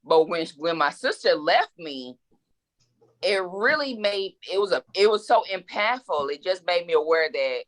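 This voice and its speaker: A woman's voice: fairly low pitch, fairly bright tone. A woman in her forties, a guest author in a casual interview, speaking reflectively and with emphasis, often repeating words as she thinks. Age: 40s.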